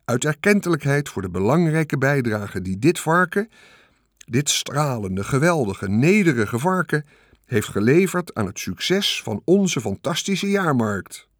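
A man's voice: medium pitch, sing-song, measured pace, dry recording, clean studio sound, voice pushed up. A man voicing a pompous, grandiose fairground announcer making formal, oratorical proclamations in an audiobook. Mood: grand, proclaiming. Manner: ceremonious, oratorical. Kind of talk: audiobook character voice.

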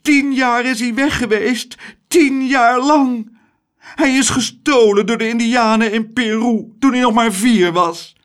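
A man's voice: unhurried, a bit bright, clear, resonant tone. A man voicing a rich, theatrical older American lady who gushes and sobs over a boy she takes for her son. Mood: sobbing, doting. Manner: high, shrill, sobbing and doting.

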